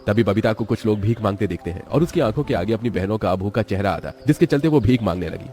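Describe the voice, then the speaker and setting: A man's voice: on the low side, expressive, fast, dark tone. A man narrating a scripted children's moral story in a dramatic way.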